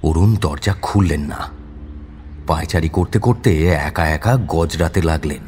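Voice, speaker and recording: A man's voice: extremely low pitch, measured pace, a little mellow, a little hiss or hum. A man narrating an audio story in a dramatic, scripted storytelling style.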